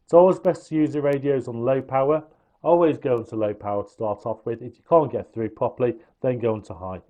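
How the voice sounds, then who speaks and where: Man, medium pitch, informal product reviewer, step-by-step explaining, hands-on demonstration. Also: a little fast, mellow, lo-fi sound.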